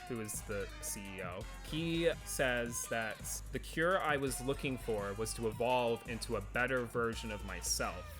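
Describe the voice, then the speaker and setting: A man's voice: medium pitch, fairly bright tone. A man giving a casual film review as co-host of a movie review show.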